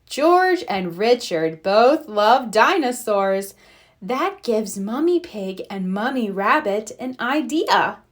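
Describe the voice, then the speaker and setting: A woman narrating a children's storybook in an expressive way for young listeners. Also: a little high, slow pace. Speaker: read-aloud storyteller.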